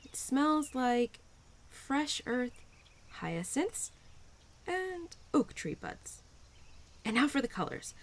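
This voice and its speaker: Bubbly, high-voiced spirit of Spring, played by a woman. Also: sing-song, slow pace, pauses often, full character voice. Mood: calm, playful. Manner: bubbly, giggly, gentle, affectionate, easily flustered. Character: cheerful, playful young female spirit of Spring, nature-loving friend. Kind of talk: audio roleplay addressed to the listener.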